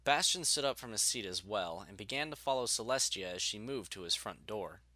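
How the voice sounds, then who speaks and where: A man's voice: on the low side, lively intonation, fairly quick, sharp tone, no echo. A man reading scripted story narration as the narrator of a fiction audiobook.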